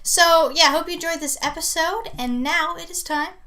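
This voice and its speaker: A woman's voice: very high voice, moderate pace, bright, forceful tone. A woman co-hosting a casual show, laughing easily and filling gaps with um and uh. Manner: laughs easily, filler-heavy. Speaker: co-host. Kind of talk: casual chat and mock news reading.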